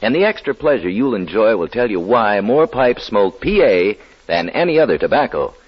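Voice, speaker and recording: A man announcing a commercial in the style of 1940s radio, with a husky voice. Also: lowish voice, sing-song, moderate pace. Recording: muffled vintage radio broadcast.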